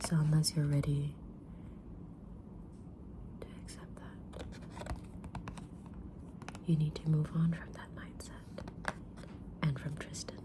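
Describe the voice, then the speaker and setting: A woman playing a kind, mysterious tarot-reading witch in a gentle, breathy whisper for an ASMR roleplay. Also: sits low, little pitch movement. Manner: gentle, deliberate, soft-spoken, drawn-out pauses.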